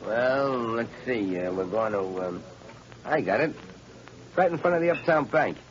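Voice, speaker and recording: An actor playing a tough, gruff gangster in a 1930s radio drama. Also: male voice, mid-range voice, lively intonation, unhurried, lightly acted. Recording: dull old radio recording with faint hiss.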